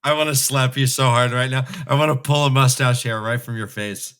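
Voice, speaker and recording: A man's voice: mid-range voice, fairly even intonation, brisk, fairly bright tone, clear, resonant tone. A man co-hosting a podcast, chatting casually and in a rambling, imaginative way about fantasy books. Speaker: co-host of a fantasy book re-read podcast. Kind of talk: casual book discussion.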